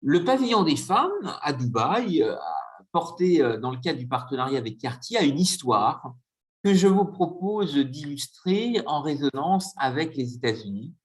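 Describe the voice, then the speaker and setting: A man giving a conference lecture with slides, speaking hesitantly with frequent filler pauses. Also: a little high, big pitch swings.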